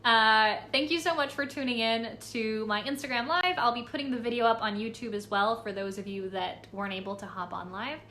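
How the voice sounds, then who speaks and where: A woman's voice: on the high side, a little fast, few pauses, sharp tone, slight background noise. A young woman from Los Angeles, a voice actress casually answering fan questions on a live stream, reading comments aloud with lots of fillers.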